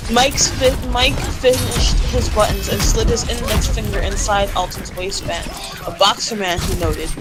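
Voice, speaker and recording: Girl reading a story out loud on a call, kinda giggly, trips over lines, music playing behind her. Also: mid-range voice, fairly even intonation, moderate pace. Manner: giggly, stumbles and repeats phrases as she reads. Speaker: friend reading fan fiction aloud in a group gaming call. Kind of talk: amateur read-aloud of fan fiction during casual chat.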